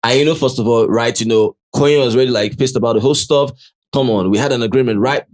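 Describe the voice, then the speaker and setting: A man's voice: medium pitch, fast, darkish tone. Chatty Nigerian man hosting. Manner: chatty, tags nearly every phrase with "right?"; mixes English with Pidgin and Yoruba phrases. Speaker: host. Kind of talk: casual commentary.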